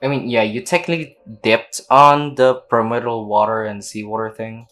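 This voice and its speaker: A woman's voice: very deep voice, steady tone, normal speed, fairly bright tone. A woman giving casual gameplay reaction commentary, blunt and slangy, as a gaming video creator.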